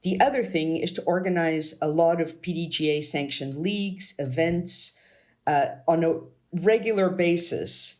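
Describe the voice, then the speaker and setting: A woman's voice: fairly low pitch, measured pace, a little mellow. A woman from Canada answering interview questions as a board candidate, earnest and thinking aloud.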